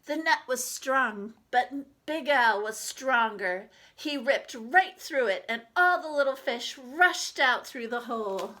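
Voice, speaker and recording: A woman reading a children's picture book aloud like a teacher, expressively, with deliberate dramatic emphasis. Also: a little high, lively intonation, sharp tone.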